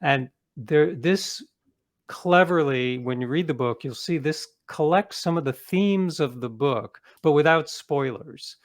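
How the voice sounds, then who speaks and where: A man's voice: fairly high pitch, unhurried. A man speaking casually as an author being interviewed.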